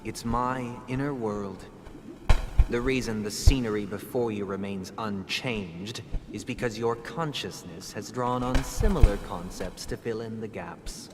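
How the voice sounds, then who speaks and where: An actor playing a composed, eloquent young man in a game cutscene, speaking in a measured, formal, softly persuasive way. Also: fairly low pitch, natural voice. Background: loud game soundtrack music.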